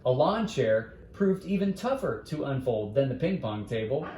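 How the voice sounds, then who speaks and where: A man's voice: medium pitch, sing-song, moderate pace, mellow, slight background noise. A man reading a bedtime story aloud as a storyteller, in a roomy, echoing recording.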